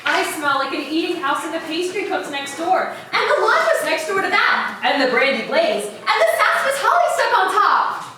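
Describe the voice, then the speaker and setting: A woman playing a giddy, excitable little child in an amateur stage reading. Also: extremely high pitch, moderate pace, pauses often. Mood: thrilled. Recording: distant echoey room, faint noise.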